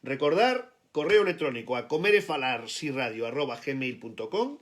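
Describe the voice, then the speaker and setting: Chatty Galician radio host. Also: male voice, mid-range voice, very animated. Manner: brisk, chatty, frequent "vale?" checks. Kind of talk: casual radio hosting.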